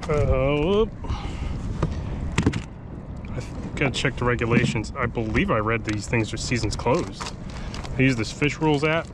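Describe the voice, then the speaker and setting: A man talks casually to camera while fishing from a kayak on the open sea, wondering aloud what he has caught. His voice sits in a middle range, picked up by a mounted action camera. Wind and water noise stay clearly audible behind him. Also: mid-range voice, unhurried, long pauses. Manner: relaxed, thinking aloud, unsure about the catch. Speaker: fishing vlogger.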